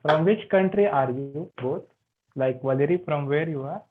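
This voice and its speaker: A man's voice: a little high, moderate pace. Man, Indian accent, yoga anatomy teacher, tinny video call audio, friendly questions. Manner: friendly, asks students questions. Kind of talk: online class teaching.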